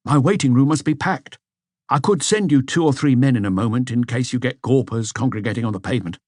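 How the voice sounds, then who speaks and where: A man's voice: mid-range voice, fairly quick, darkish tone, no echo, clean studio sound, voice pushed up, natural voice. A man voicing a busy, obliging local police chief inspector in an audiobook. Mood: brisk.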